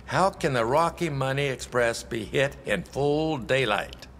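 Sardonic police chief, stern, interrogating, medium pitch, reverb, game cutscene. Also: male voice, expressive, measured pace, vocal fry, a bit bright, slight background noise. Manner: clipped, interrogating. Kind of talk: cinematic video game acting.

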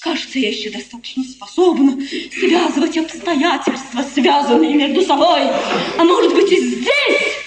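An actress playing a proud, bitterly mocking, agitated countess in a 1950s radio play, with theatrical, taunting emphasis. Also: female voice, sits high, lively intonation, normal speed, faint music behind. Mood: frenzied triumph. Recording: echoey, noisy 1950s radio recording.

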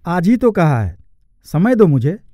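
A man's voice: high-pitched, big pitch swings, unhurried, mellow, no room sound, clean studio sound. A man voicing an earnest, hardworking young employee in a cartoon story, polite but firm and plain-spoken. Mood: patient, annoyed. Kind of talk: Hindi cartoon story acting.

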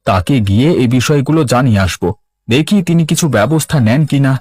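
A man's voice: mid-range voice, animated, fast, a little mellow, no room sound, close to an everyday voice. A man voicing a dejected but patient village fisherman in a cartoon, with a plaintive, complaining manner. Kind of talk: Bengali cartoon voice acting.

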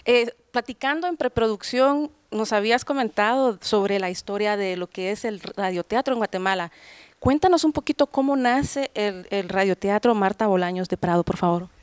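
A woman's voice: medium pitch, normal speed, clean tone, dry recording. A middle-aged woman hosting an on-location TV interview, speaking into a handheld microphone indoors. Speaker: TV host and interviewer. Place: large tiled hall indoors, group seated behind.

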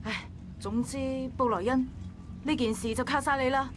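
Woman as a high-pitched, fussing dock worker. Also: moderate pace, soft background music, close to an everyday voice. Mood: concerned. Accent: Hong Kong Cantonese. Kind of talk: visual novel voice acting.

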